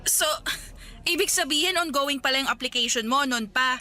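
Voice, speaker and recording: A woman's voice: sits high, normal speed, bright, forceful tone, faint music behind. An actress with a Filipino accent playing a guarded, anxious young woman in love in a Tagalog radio romance drama. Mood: indignant, hurt.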